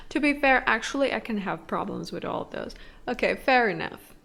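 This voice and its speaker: A woman's voice: fairly high pitch, animated, normal speed, vocal fry, fairly bright tone, slightly rough. A woman whose first language is Latvian, chatting casually and wryly as she answers viewer questions during a painting stream. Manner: playful, wry, chuckling asides.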